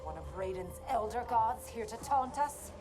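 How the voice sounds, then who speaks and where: A woman's voice: on the high side, expressive, normal speed, natural voice. A woman playing a proud, defiant, regal warrior in a video game, speaking with a challenging manner. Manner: sharp, challenging questions. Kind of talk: dramatic video game cutscene acting. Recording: music under the voice.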